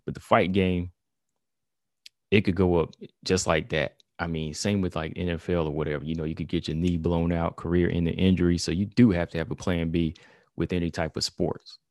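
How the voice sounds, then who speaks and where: Low-voiced man talking fight sports. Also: level tone, brisk, a little mellow, no echo, studio quality. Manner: conversational, heavy on "you know" and "y'all", reads quotes aloud. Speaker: combat sports commentator. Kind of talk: casual commentary monologue.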